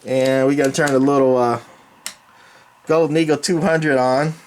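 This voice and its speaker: A man's voice: mid-range voice, moderate pace. A man giving a casual, plain-spoken hands-on equipment demonstration, narrating as he works. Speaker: radio hobbyist presenter. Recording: noisy room with steady equipment hum.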